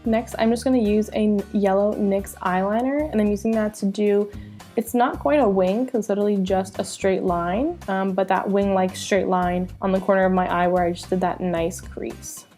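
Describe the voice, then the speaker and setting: Nasal, gravelly young woman vlogger. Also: mid-range voice, fairly even intonation, normal speed, warm tone, soft background music. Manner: chatty, upbeat explaining. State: nasal from a cold. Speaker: beauty vlogger. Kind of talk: makeup tutorial voice-over.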